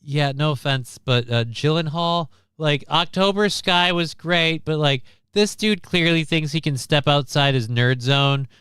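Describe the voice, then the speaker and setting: A man's voice: on the high side, a little slow, hardly any pauses, fairly bright tone, clear, resonant tone, dry recording. A man hosting a livestream, giving casual, profane movie commentary in a blunt, sarcastic, ranting manner. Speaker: livestream host.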